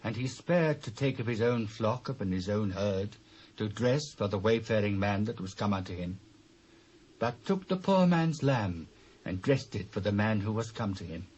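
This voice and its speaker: A British actor playing a stern, solemn prophet in a dramatised Bible reading, speaking in measured, declamatory phrases. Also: male voice, fairly low pitch, animated, a little mellow, husky, rough recording. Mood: solemn storytelling.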